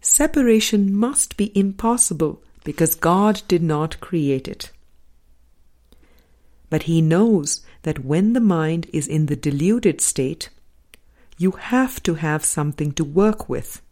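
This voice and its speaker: A woman reading a spiritual book aloud as an audiobook narrator, in a measured, deliberate way with pauses between phrases. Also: sits low, expressive, mellow. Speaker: audiobook reader.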